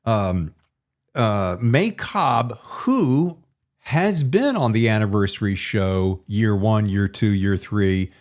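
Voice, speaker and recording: A man hosts a casual interview with lots of playful banter. His delivery is very animated, with wide swings in pitch. He comes across as effusive and informal, chatting freely with his guest. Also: slow pace. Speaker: podcast host interviewing an author.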